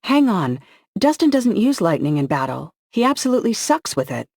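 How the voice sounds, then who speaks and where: A woman's voice: lowish voice, moderate pace, dry recording, clean studio sound, voice pushed up, lightly acted. A man voicing a tough, sarcastic young woman warrior in an audiobook, wry and bold. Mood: urgent. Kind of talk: audiobook dialogue.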